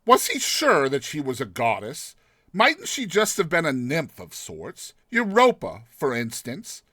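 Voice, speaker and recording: Animated high-voiced man reading a story. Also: a little slow, slightly rough, dry recording, clean studio sound. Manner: theatrical, expressive storytelling. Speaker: narrator. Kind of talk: dramatized literary short story reading.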